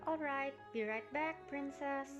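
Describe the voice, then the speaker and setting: A woman playing a friendly, chatty young helper to a pony princess, obliging and deferential, in an animated audio drama. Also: high voice, a little slow, highs cut off. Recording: music behind the voice.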